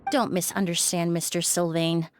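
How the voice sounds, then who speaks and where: A woman playing a poised, analytical young forensic cleaner in a video game, speaking in a measured, polite way. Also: on the low side, little pitch movement, background noise, close to an everyday voice. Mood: probing.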